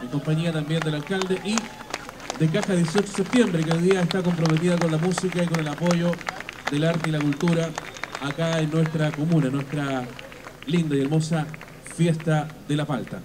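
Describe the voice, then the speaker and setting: A man emceeing a festival on an outdoor stage, talking into a handheld mic through the PA with emphatic, drawn-out phrasing. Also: on the high side, steady tone, background noise. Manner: emphatic, drawn-out announcer delivery with repeated fillers. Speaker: event MC. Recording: live PA sound with loud crowd.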